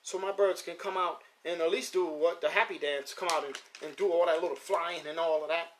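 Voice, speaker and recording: A man's voice: on the high side, fairly even intonation, brisk, slight background noise. A man in his fifties hosting a live stream about his pigeons, talking casually and repeating points for emphasis. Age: 50s. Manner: loose, repetitive, emphatic street-casual talk. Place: at home near his pigeon loft.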